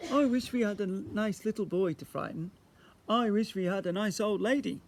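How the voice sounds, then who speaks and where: A man's voice: sits high, moderate pace, a little hiss or hum, voice pushed up. A man voicing a big, cheerfully mischievous storybook skeleton with an exclaiming, theatrical delivery.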